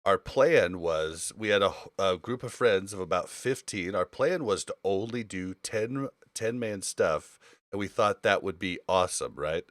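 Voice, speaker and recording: A man with a fairly deep voice hosts a relaxed gaming conversation. The sound is dry, with little room on it, and his explanations come out informal and rambling. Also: unhurried, no echo. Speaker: podcast host. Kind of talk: casual gaming podcast chat.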